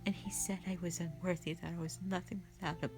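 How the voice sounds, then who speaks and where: A woman playing a traumatised, anguished young woman in an audio drama monologue, speaking haltingly and often hushed. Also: lowish voice, steady tone, natural voice. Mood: hushed, haunted. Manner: halting, hushed, breaking into whispers. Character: traumatised young woman confessing a harrowing past, anguished, broken. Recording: music behind.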